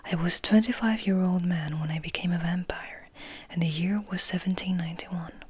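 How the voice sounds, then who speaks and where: A woman reading as a composed, wistful male vampire in a soft, confiding, breathy whisper for an ASMR audiobook. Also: lowish voice, fairly even intonation, normal speed. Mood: composed, reminiscing. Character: gentle, composed, wistful male vampire telling his story.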